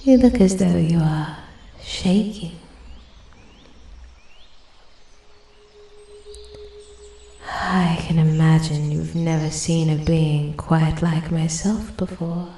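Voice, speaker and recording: A woman voicing an ancient female forest spirit in soft-spoken ASMR roleplay, breathy and often whispering, formal, intrigued and quietly menacing. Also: on the low side. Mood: calm, imperious. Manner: slow, deliberate, archly formal. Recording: echoey, with steady ambient forest noise. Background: forest ambience, birds and animal sounds.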